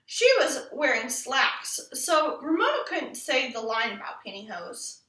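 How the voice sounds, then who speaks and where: Female children's book reader, very lively intonation and bright tone, recorded from a distance in a roomy space. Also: high voice, measured pace. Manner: animated, acts out the quoted lines. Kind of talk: expressive storybook read-aloud. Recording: roomy echo, distant from the mic.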